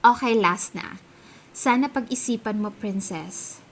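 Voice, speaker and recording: A woman's voice: a little high, a little slow. A woman playing an opinionated, gossipy young friend with a Filipino accent, speaking emphatically in a radio drama. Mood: probing. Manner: emphatic, conversational.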